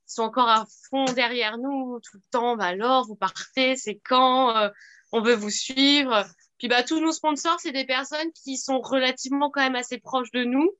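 A woman's voice: a little high, pushed and bright, highs cut off, a little hiss or hum. A woman taking part in a rally, answering interview questions earnestly and hesitantly, with many fillers. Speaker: rally participant.

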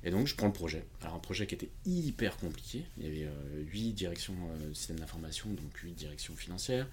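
Low-voiced man telling work stories, noisy background. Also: expressive, fast, a little mellow. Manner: informal, acts out quoted dialogue. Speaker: podcast guest, independent consultant. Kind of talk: animated anecdote telling in casual conversation. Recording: clearly audible background noise.

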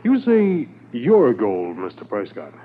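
An actor playing a calm, plain-spoken, probing Mountie corporal in a 1950s radio drama. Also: male voice, medium pitch, slow pace, exaggerated voice. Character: calm, dutiful male Mountie corporal and interrogator. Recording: tinny, faintly noisy 1950s radio transcription.

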